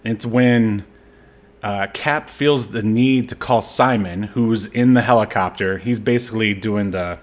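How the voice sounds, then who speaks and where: A man's voice: lowish voice, measured pace. A man co-hosting a podcast, chatting casually in a rambling, laid-back way with lots of fillers. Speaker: podcast co-host. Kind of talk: casual chat.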